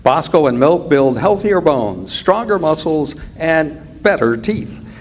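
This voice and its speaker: A male announcer delivers a vintage radio advertisement with measured, promotional phrasing. His voice is fairly high and very animated, with faint noise behind it. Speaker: commercial announcer. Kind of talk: old-time radio advertisement reading. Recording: live stage with faint noise.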